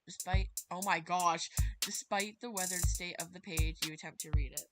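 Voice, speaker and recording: A woman's voice: fairly low pitch, fairly even intonation, normal speed. Dramatic, rambling woman livestreaming a game over music. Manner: rambling, dramatic, easily spooked. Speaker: livestreamer playing a visual novel. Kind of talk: casual game livestream commentary with some read-aloud narration.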